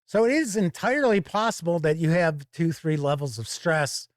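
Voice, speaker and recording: Male reviewer, slightly high voice, unhurried explanatory walkthrough, chatty asides, very dry close sound. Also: lively intonation, no echo. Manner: deliberate, explanatory, conversational asides. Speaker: reviewer and show host. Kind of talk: casual product review walkthrough.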